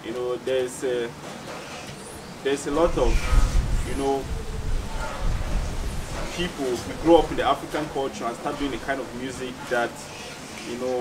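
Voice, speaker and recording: Cameroonian guy talking about himself in an interview, thoughtful, mic kinda far away, lots of background noise. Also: medium pitch. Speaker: musician being interviewed. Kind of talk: casual interview answers. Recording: distant mic, clearly noisy background ambience.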